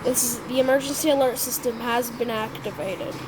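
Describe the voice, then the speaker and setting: A woman's voice: a little high, noisy background, close to an everyday voice. Woman playing a stumbling emergency broadcast announcer. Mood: urgent. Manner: halting improvised announcement, frequent restarts and self-corrections. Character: improvised emergency alert announcer, stiff and official.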